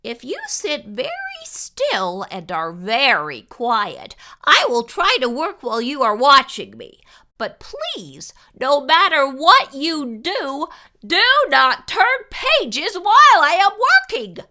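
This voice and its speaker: A woman acts out a grumpy male pig who writes storybooks and hates being bothered. The voice is high and bright, with wildly swinging pitch. It scolds slowly and emphatically, full of indignation. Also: wildly swooping pitch, full character voice. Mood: exasperated. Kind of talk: animated children's read-aloud character voice.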